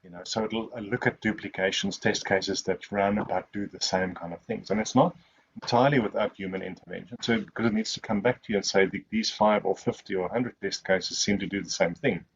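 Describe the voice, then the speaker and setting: A man whose first language is Afrikaans answers questions in a webinar, rambling and restarting his sentences. His voice sits fairly low. The audio is somewhat muffled and lo-fi. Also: steady tone, a little fast, breathy edge. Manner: rambling, many restarts and fillers. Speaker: guest speaker.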